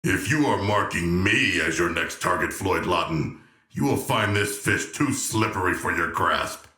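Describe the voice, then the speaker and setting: A huge humanoid shark from a video game, with a very low, hard-edged male voice in an echoing space. He is a proud but gentle scholar of a villain, choosing formal words and delivering them deadpan. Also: exaggerated voice. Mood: dry, aloof. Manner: formal, eloquent, measured, deadpan diction. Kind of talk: video game character voice lines.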